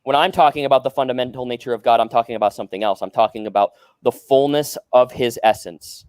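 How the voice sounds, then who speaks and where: A man caller defends his view in a heated debate, tripping over restarts. His voice sits at a middle pitch, recorded dry and close with no room. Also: a little mellow, smooth, no echo. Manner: halting, stammering restarts.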